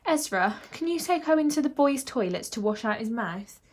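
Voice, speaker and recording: A woman's voice: on the high side, lively intonation, normal speed, pitched above the performer's own voice. Woman acting a weary, stern head teacher. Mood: weary scolding. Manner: snappish, sighing, authoritative. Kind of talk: children's audiobook character voice.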